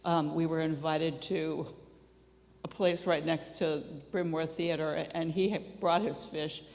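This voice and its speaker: An older woman sharing a memory of a friend at a memorial service, halting, with many fillers and restarts. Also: deep voice, fairly even intonation, darkish tone. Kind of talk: informal memorial remembrance. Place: church sanctuary. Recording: echoey church with long reverb and faint noise.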